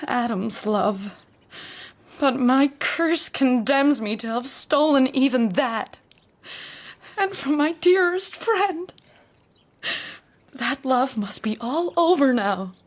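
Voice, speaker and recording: High-voiced, anguished society daughter. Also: female voice, expressive, slow, pauses often, exaggerated voice. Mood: anguished confession. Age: young adult. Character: anguished young society daughter confessing her compulsion. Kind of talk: period radio drama acting.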